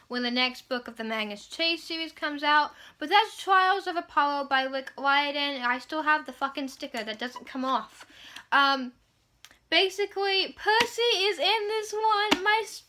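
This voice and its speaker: A young woman with a high-pitched, bright voice talks casually about books. Her delivery is gushing and emphatic, in the slangy, informal way of an online fangirl. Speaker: book reviewer vlogger. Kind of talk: casual book chat to camera.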